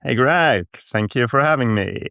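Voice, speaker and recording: A man who grew up in Austria, a founder guest speaking in a measured, explanatory way on a video call from his home. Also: mid-range voice, lively intonation, gravelly, sharp tone, no echo, studio quality. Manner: measured, explanatory, slight hesitations. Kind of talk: casual interview storytelling. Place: home room in Berkeley.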